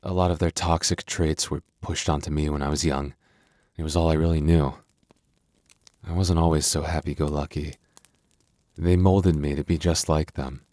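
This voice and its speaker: A man acts out a young werewolf boyfriend with an extremely deep voice in an intimate audio roleplay. He is gentle and earnest, hesitating and stumbling over his words as he opens up. The sound is dry, with hardly any room on it. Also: fairly even intonation, moderate pace, no room sound. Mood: regretful, vulnerable. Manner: soft, earnest, hesitant, stammering.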